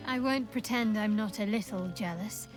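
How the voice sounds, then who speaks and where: A woman playing a devout, commanding young dark priestess in a video game, speaking in a measured, formal, wry and intimate way. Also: mid-range voice, lightly acted. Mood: coy, intimate. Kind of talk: video game character dialogue. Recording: game dialogue over background music. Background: music.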